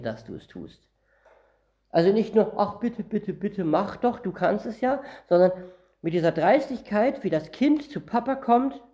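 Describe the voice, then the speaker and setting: A man's voice: high voice, warm tone. A man from northern Germany preaching a Bible-teaching sermon, reading verses aloud and explaining them word by word in a conversational way.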